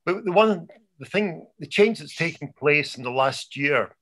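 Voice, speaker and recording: A man's voice: on the high side, lively intonation, measured pace, pushed and bright. Scottish guy talking on a video call, thick accent, lots of ums and restarts. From Scotland. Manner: frequent fillers and restarts, self-deprecating. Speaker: conference speaker, journalist. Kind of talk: conference talk. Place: home in London over video call.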